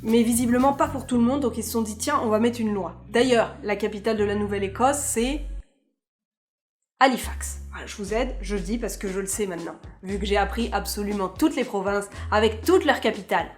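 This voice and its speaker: A woman's voice: medium pitch, brisk, faint music behind. A young woman hosting a casual vlog, playful and chatty, with joking asides. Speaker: vlogger host.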